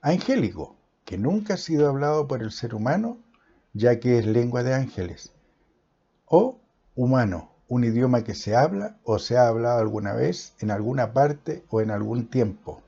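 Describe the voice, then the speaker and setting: Emphatic preacher man, dark husky voice. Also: medium pitch, lively intonation. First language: Spanish. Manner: emphatic, declaiming with pauses. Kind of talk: Bible teaching sermon. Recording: dull lo-fi recording.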